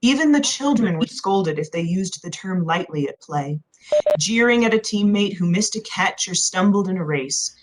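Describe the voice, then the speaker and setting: A woman reading a novel aloud as expressive scripted narration. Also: on the low side, moderate pace, heavy background noise. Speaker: audiobook narrator.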